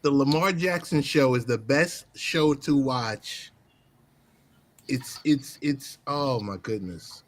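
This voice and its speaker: A man on a casual fantasy football chat, excitable and joking. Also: mid-range voice, slow pace, slight background noise. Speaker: panelist on fantasy football show.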